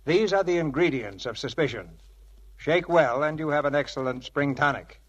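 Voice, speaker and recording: Theatrical male vintage radio host, slightly high voice. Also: expressive, normal speed, poor audio. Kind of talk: scripted 1940s radio narration. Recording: vintage radio broadcast with music.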